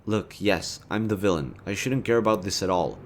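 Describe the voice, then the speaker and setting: A man's voice: sits low, moderate pace, slight background noise, lightly acted. A man voicing a smug, teasing but caring supervillain in an intimate audio roleplay, talking conversationally and straight to the listener. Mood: earnest, persuasive. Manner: teasing, conversational, swinging from playful to earnest. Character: smug, teasing yet caring male supervillain, city-wide rival.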